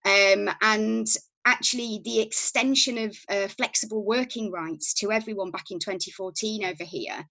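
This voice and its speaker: A woman's voice: mid-range voice, steady tone, moderate pace, gravelly, pushed and bright, no echo, low-quality recording. Chatty Yorkshire woman, dry close sound. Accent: Yorkshire English. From Yorkshire, England. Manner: chatty, many ums and restarts. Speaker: podcast guest, company founder. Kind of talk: casual interview talk.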